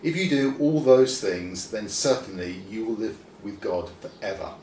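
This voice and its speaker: A man voicing a calm, kindly teacher in a children's Bible story. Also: on the low side, moderate pace, lightly acted. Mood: calm, affirming. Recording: roomy, distant mic, faint noise.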